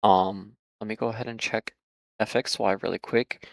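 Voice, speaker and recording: A man with a low, gravelly voice talks through market charts in a loose, casual way. He sounds hoarse and keeps filling pauses with "um" and "you know". The sound is dry and close to the microphone, with no room around it. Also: fairly even intonation, dry recording. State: hoarse, losing his voice. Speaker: market analysis host. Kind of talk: casual trading chart commentary.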